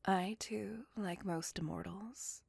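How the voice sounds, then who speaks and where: A woman voicing a gentle, remorseful immortal vampire in an ASMR roleplay, speaking tenderly and intimately in a breathy whisper. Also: mid-range voice, no room sound, full character voice. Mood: remorseful, confessing. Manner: slow, tender, earnest confiding.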